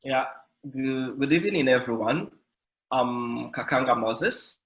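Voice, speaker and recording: Man from Uganda, panel intro, steady mid voice, unhurried. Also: medium pitch, poor audio. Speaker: scientist and startup founder, panelist. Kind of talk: self-introduction on an online panel.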